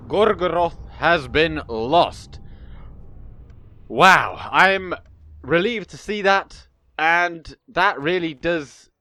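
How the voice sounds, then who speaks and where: Young male game host, stuffy with a cold, smooth voice, chatty and rambling. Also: fairly high pitch, very slow, bright, forceful tone, dry recording. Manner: chatty, rambling, self-correcting. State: congested with a cold. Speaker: gaming video host. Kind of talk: casual strategy game commentary.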